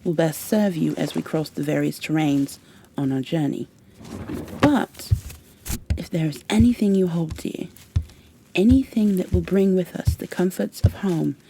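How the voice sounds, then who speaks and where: A woman playing a stern high elf warrior in an ASMR roleplay, with formal, commanding, impatient phrasing and a soft, breathy delivery that often drops toward a whisper. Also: measured pace, pauses often, a little hiss or hum, low-quality recording. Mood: urgent, persuasive. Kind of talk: ASMR roleplay, scripted in-character monologue.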